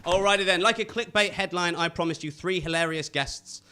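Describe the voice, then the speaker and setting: A man's voice: high-pitched, fairly quick, very noisy. A man hosting a comedy panel show, reading scripted jokes to a live audience with punchy timing.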